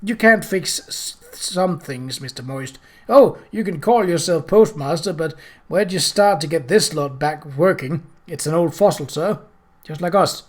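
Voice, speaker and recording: A man's voice: fairly high pitch, unhurried. A man voicing an elderly lodge master who talks in a raised, hesitant, apologetic way. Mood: weary.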